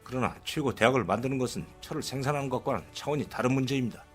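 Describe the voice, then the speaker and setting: An actor playing an outspoken, proud older male scientist in dramatized quotes for a documentary, blunt and sardonic, with a husky voice. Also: medium pitch, rapid-fire, faint music behind, natural voice.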